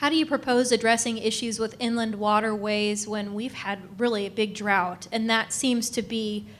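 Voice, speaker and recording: A woman's voice: fairly high pitch, steady tone, moderate pace, slight background noise. Female moderator, friendly and conversational, event hall. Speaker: forum moderator, news anchor. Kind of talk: asking questions at a forum.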